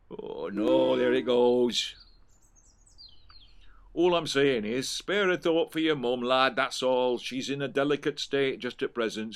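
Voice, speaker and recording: An actor playing a grumbling, colloquial working-class father in an audio play, chiding but caring. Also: medium pitch.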